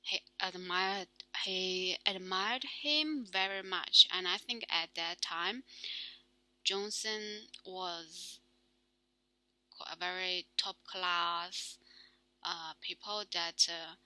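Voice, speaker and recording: A young woman with a Chinese accent, speaking as a non-native talking through her reading in a halting, filler-heavy monologue. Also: lowish voice, sharp tone, no echo, poor audio. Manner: halting, many fillers and restarts. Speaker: solo talk show host. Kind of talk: casual spoken practice monologue.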